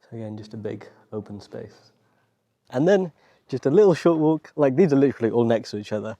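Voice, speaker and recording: A British man from Wales talking in a relaxed, conversational, appreciative way, like a casual travel vlog. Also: medium pitch, brisk, no room sound, highs cut off. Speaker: travel vlogger. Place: outdoors and inside rock-cut tombs at a desert site.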